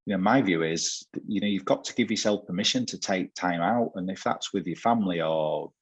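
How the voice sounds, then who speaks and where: A man's voice: sits low, fairly quick, breathy edge. A man hosting a conversational interview, earnest, often repeating words as he builds a point. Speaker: host.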